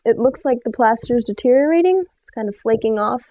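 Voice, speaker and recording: A woman with a fairly high, soft-toned voice speaks as a homeowner in a how-to video. The recording is a little dull and muffled, made in a room of a house. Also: lively intonation, brisk. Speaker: homeowner asking questions. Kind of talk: posed questions in a how-to video. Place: room inside an old house.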